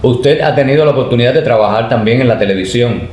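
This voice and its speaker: A male interviewer with a mid-pitched voice. He asks calm questions in a roomy space with faint background noise. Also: fast talker.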